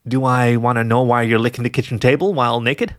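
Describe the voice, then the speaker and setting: A man's voice: medium pitch, quick pace, no room sound, lightly acted. A man voicing a tired, exasperated male jackal with a dry, sarcastic way of questioning in an audio story. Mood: incredulous. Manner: weary, deadpan questioning.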